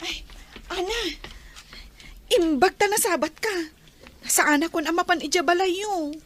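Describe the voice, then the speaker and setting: Drama casting: high-pitched woman, worried family confidante, fretful and concerned. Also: a little slow, a bit bright. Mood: anxious, reporting. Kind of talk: Ilocano radio drama acting.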